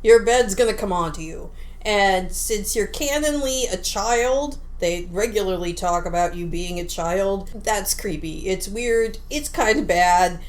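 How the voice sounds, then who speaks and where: A woman's voice: medium pitch, measured pace. A woman co-hosting a podcast, talking casually as she reviews a game. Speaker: podcast co-host.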